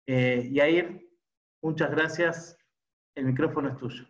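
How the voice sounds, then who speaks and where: A man from Argentina, introducing a guest speaker with a halting, filler-heavy delivery. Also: a little high, steady tone. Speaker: event host. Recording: lo-fi video call audio.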